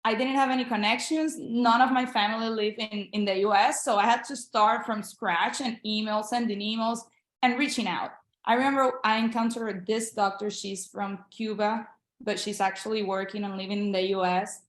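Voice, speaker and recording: A woman's voice: mid-range voice, steady tone, normal speed, reverberant. A young woman from Venezuela, a Spanish speaker, giving a casual interview as a guest, speaking earnestly and encouragingly over a video call. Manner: earnest, encouraging, with frequent restarts. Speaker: guest, international medical graduate.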